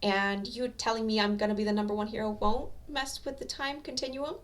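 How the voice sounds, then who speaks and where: A woman's voice: medium pitch, fairly even intonation, a little fast, distant mic, pitched above the performer's own voice, lightly acted. A woman voicing a timid, eager, nerdy fourteen-year-old boy, earnest and questioning, in an amateur audio story. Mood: curious, wary.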